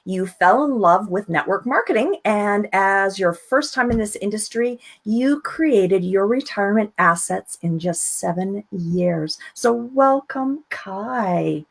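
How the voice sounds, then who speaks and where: A woman's voice: medium pitch, expressive, takes it slowly, gravelly, hardly any pauses. A woman hosting an interview, introducing and prompting her guest. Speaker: interview host.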